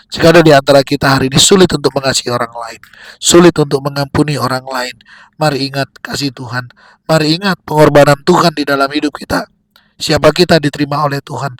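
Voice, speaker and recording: Male preacher, slightly high pitch, close dry sound. Exhorting devotional delivery in short repeated phrases. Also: no echo, low-quality recording. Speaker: preacher giving a daily devotional. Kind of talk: devotional sermon with prayer.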